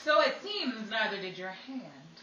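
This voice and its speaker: A woman's voice: mid-range voice, sing-song, measured pace, muffled recording. A woman acting as a wisecracking 1940s radio announcer and servicewoman, with a showy, theatrical delivery. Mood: wry. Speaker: announcer and actress. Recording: echoey room, distant mic, crowd noise.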